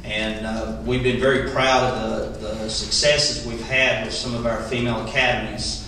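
A man's voice: mid-range voice, moderate pace. A man in his forties with a Western North Carolina mountain accent, giving a plainspoken, folksy introduction as a political candidate. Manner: plainspoken, folksy, steady and level delivery. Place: large library meeting room, indoors. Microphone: table mic, recorded from a distant camera. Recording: echoey hall, distant mic, room noise.

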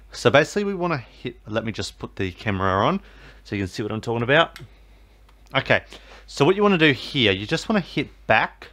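A man's voice: medium pitch, fairly bright tone. A man talking viewers through resetting a TV box, rambling and full of fillers. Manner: rambling, filler-heavy, repeats "basically" and "obviously". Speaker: tech tutorial host. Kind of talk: casual how-to voice-over.

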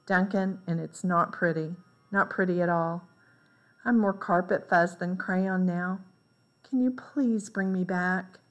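A woman reading a children's picture book aloud in a deliberate, expressive way. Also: on the low side. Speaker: read-aloud storyteller.

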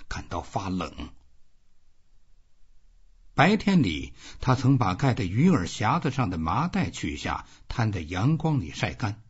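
A man's voice: fairly low pitch, slightly rough, lo-fi sound. A man reading scripted literary narration as an audiobook narrator.

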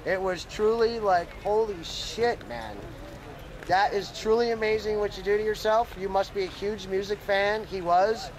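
A man's voice: high-pitched, steady tone, normal speed. A man from upstate New York casually interviewing fans outdoors at a music festival, calling everyone "man.". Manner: easygoing, says "man" a lot. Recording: loud outdoor noise.

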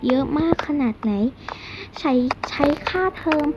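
A woman's voice: a little slow, lo-fi sound, very noisy. A young-sounding female storyteller improvising a schoolgirl role-play skit, exclaiming and drawing out her words. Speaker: storyteller voicing a homemade game skit. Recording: loud music behind the voice. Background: loud music.

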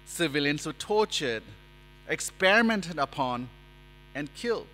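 A man in his forties preaching a sermon at a lectern in a church, speaking in a measured, emphatic way and gesturing as he talks. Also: a little high, animated, pushed and bright. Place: church sanctuary, indoors. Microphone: lectern microphone. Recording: reverberant church hall with mains hum.

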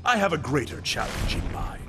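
A man's voice: a little high, big pitch swings, brisk, music in the background. A man playing a stern warrior in a fighting game, speaking in a solemn, formal way.

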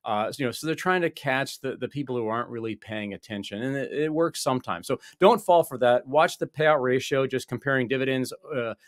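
Man, 40s, guest on video call, dry small office sound, halting explanatory delivery. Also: medium pitch, dry recording. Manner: explanatory, many restarts and fillers. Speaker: guest investor. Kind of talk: conversational interview. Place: small office with wall panels. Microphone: desk microphone on video call.

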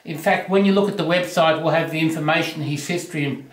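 A man's voice: fairly high pitch, fast talker. An older Australian man, an auctioneer, enthusiastically rambling about items while walking through a house and filming. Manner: enthusiastic, rambling, self-joking. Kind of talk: casual walkthrough chat. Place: large house, indoors. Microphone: handheld phone camera. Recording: echoey rooms, faint noise.

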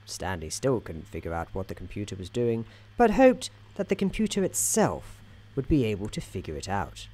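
Man playing a mellow, droll storybook narrator. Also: on the low side, wildly swooping pitch. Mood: soothing, droll. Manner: measured, whimsical, gently patronising. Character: droll, soothing male storybook narrator in an office worker's head. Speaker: narrator of an ASMR roleplay. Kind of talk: soft-spoken ASMR scripted narration.

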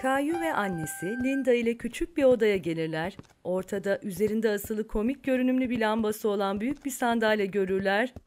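A woman's voice: mid-range voice, brisk, hardly any pauses, a little mellow, soft background music, natural voice. A woman reading scripted narration for a children's cartoon story.

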